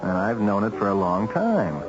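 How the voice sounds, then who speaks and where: An actor playing a breezy, worldly big spender and tempter, folksy and teasing, in 1970s radio drama style. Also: male voice, on the low side, big pitch swings, normal speed. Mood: probing, persuasive. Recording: dull old radio drama recording, music behind.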